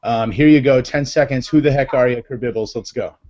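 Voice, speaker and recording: A man with a Massachusetts accent hosts a live video chat in an upbeat, chatty way, full of ums and quick asides. His voice sits at an ordinary pitch for a man. The audio is dull and lo-fi, like a video call. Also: darkish tone, a little hiss or hum. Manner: chatty, upbeat, many fillers. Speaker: live show host.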